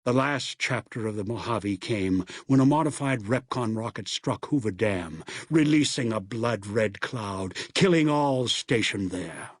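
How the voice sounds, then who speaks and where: Husky, ominous old man. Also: on the low side, lively intonation, dry recording, studio quality. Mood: ominous, brooding. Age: older. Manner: ominous, deliberate storytelling. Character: bitter, obsessive old man, ominous wasteland schemer. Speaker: video game villain and narrator. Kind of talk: dramatic video game voice acting.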